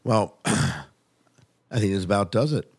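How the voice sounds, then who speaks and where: A man's voice: lowish voice, animated, measured pace, creaky, slightly rough. A man hosting a podcast, chatting casually and enthusiastically about television as a keen fan. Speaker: podcast host. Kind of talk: casual TV review chat.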